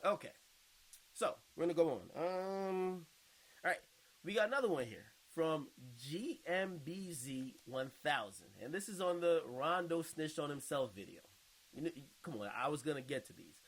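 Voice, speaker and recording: A man's voice: a little high, animated, normal speed, a bit bright, rough recording. A man hosting a casual online video, reading out and reacting to viewer comments in an informal, slangy way with some swearing. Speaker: online video host and podcaster.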